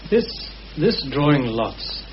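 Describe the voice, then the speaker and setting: An actor playing a cautious, questioning war veteran in a mid-century radio drama. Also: male voice, fairly high pitch, big pitch swings, slow pace. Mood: cautious, probing. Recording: muffled old radio recording, slight hiss.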